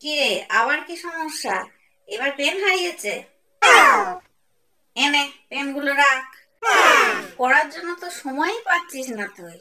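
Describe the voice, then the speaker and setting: A woman's voice: sits high, lively intonation, a little slow, pauses often. A woman playing a strict, fussing mother in an amateur cartoon, bossy and scolding. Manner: scolding, bossy, hushing others. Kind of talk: amateur cartoon comedy voice acting. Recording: heavy background noise, clicks.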